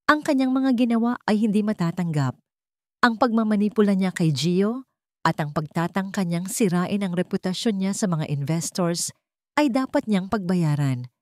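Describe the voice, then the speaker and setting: A woman's voice: mid-range voice, lively intonation, normal speed, no echo, studio quality, close to an everyday voice. Woman playing a firm, stern Filipino matriarch. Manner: firm, formal. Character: stern, resolute wealthy matriarch, mother of grown son.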